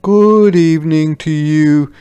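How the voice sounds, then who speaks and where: Man voicing a slow, raised-voice hungry storybook soldier. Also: fairly high pitch, dark tone, smooth, lo-fi sound, voice pushed up. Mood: polite pleading. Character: hungry, weary, hopeful male soldiers in a folktale.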